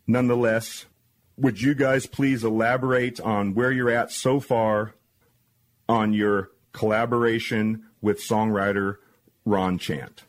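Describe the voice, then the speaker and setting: A man hosting a podcast interview, speaking in measured, deliberate phrases. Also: fairly low pitch. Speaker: podcast host.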